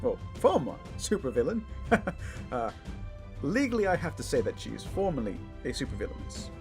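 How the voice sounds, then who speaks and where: A man's voice: medium pitch, very animated, moderate pace, over music. A man playing a self-assured, well-meaning but blundering superhero in a comedic audio drama, with heroic bluster that slides into casual, earnest rambling. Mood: boastful, casual.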